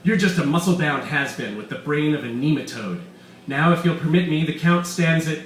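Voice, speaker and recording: A man's voice: on the high side, normal speed, pitched above the performer's own voice, close to an everyday voice. Man acting a gloating, pompous mad-scientist villain, roomy echo. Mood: taunting. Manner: pompous, theatrical, exclamatory. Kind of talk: live short-story reading. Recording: roomy echo, distant mic, faint room noise.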